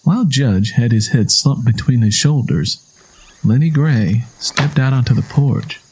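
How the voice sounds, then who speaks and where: A man's voice: medium pitch, unhurried, darkish tone, slightly rough, rough recording. A man reading scripted dramatic narration as the storyteller of an audio drama.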